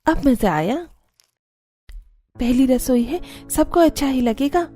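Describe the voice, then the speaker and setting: A sweet, eager young daughter-in-law, acted by a woman in a Hindi cartoon. Her voice sits high and moves up and down a lot, with a soft tone. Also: animated, slow pace, faint music behind, heavily acted. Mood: cheerful. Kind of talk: Hindi cartoon story acting.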